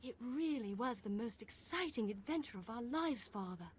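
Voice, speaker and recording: A woman's voice: on the high side, animated, moderate pace, slight background noise, natural voice. A young woman playing a spirited, thrill-seeking noble daughter in a video game, with an eager, theatrical manner. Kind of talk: video game cutscene acting.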